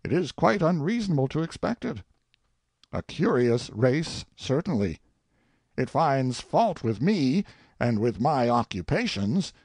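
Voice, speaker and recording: A man's voice: medium pitch, a little mellow, dry recording, studio quality, voice pushed up. A man voicing a haughty, self-pitying tyrant king who muses aloud with pompous sardonic scorn in an audiobook monologue. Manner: pompous, theatrical, sardonic musing.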